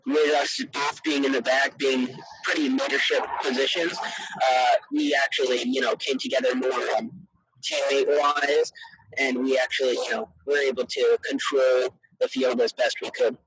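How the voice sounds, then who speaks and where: A man's voice: medium pitch, little pitch movement, normal speed, background noise. A teenage male student athlete answering casually in an interview, earnest and hesitant, leaning often on verbal fillers. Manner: hesitant, earnest, peppered with "you know".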